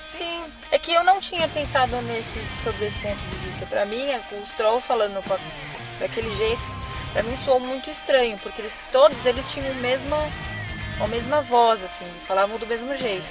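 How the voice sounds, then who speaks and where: A Brazilian woman co-hosts a casual film podcast. Her voice sits slightly high, and she talks in a chatty, opinionated way. Music plays clearly behind her. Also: brisk. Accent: Brazilian Portuguese. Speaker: podcast co-host. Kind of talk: casual film-review chat.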